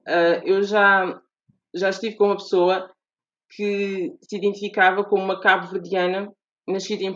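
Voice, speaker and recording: A woman with a European Portuguese accent speaks as a guest on a podcast, thoughtful and earnest, restarting phrases as she works through her ideas. Her mid-pitched voice comes through a dull, muffled lo-fi recording. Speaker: guest author. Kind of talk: podcast interview. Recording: dull lo-fi audio.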